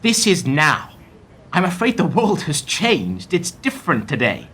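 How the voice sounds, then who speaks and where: An actor as a blunt, animated bank executive. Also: male voice, a little high, a little slow, a little hiss or hum, full character voice. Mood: firm, unyielding. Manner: hard, emphatic, condescending. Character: blunt, ruthless male corporate bank executive delivering bad news. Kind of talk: radio play dialogue.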